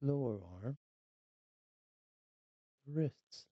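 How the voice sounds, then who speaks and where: A meditation guide speaking calmly and slowly in short, unhurried phrases with long pauses, leading a scripted relaxation. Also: medium pitch, no echo, lo-fi sound.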